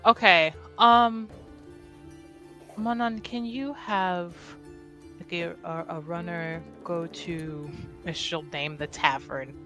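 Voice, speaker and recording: A woman's voice: on the low side, steady tone, slow, pushed and bright, soft background music. A woman narrating her character in a tabletop roleplaying game, hesitant and thinking aloud with many fillers. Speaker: player.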